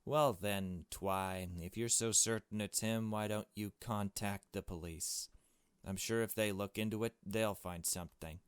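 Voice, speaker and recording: A man's voice: fairly low pitch, steady tone, a little slow, smooth, no room sound, clean studio sound, close to an everyday voice. A man voicing a hesitant, fair-minded young woman in a visual novel, tentative and questioning. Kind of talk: visual novel dialogue read aloud.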